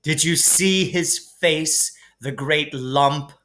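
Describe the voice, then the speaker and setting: A man's voice: a little high, very slow, bright, forceful tone, heavily acted. A man voicing a sneering, mocking schoolboy bully who goads others, in a storybook reading. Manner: mocking, goading.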